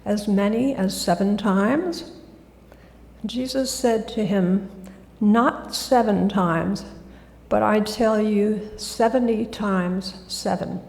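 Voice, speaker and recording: A woman giving a gentle, soft-spoken talk as a guest speaker in a church. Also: mid-range voice, slow pace, gravelly. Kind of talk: sermon talk. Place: church sanctuary. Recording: echoey hall.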